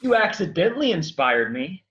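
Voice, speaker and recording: Lively-pitched, sheepish Lazarus played by a man, dull recording. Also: moderate pace, a little hiss or hum. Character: awkward, sheepish, reluctantly apologetic Lazarus. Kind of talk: staged reading of a comic play.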